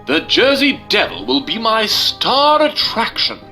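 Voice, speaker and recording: Man acting a grandiose, sly circus owner, raised pitch. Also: huge pitch swings, a little slow, sharp tone, full character voice. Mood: scheming. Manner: grandiose, proclaiming. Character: male Philadelphia circus owner, sly, greedy, loud schemer. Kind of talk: dramatic storybook reading. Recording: music under the voice.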